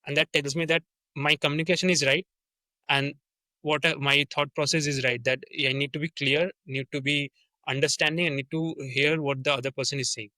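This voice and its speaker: Bright-voiced Indian man explaining earnestly. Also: fairly high pitch, level tone, a little fast, no echo, rough recording. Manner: earnest, restarts often, many "uh" fillers. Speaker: sales development representative, guest. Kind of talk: casual interview conversation.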